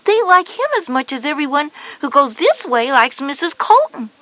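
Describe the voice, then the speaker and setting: A woman's voice: high voice, very animated, normal speed, bright, forceful tone, dry recording, full character voice. A woman voicing a knowing, patient schoolgirl big sister who explains things in a children's educational story. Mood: patient, instructive. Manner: explanatory, instructive. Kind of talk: scripted children's educational cassette dialogue.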